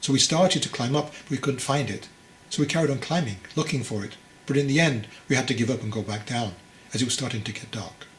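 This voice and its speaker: A man's voice: mid-range voice, fast talker, roomy sound, slight background noise, close to an everyday voice. A man voicing a fragile, anxious young would-be monk in a storybook, hesitant, earnest and deferential. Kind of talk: storybook character dialogue.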